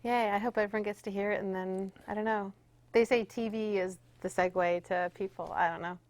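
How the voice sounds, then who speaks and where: A woman's voice: mid-range voice, steady tone, fairly quick, darkish tone, no echo. A woman musician chatting casually as an interview guest, informal and loose in her phrasing.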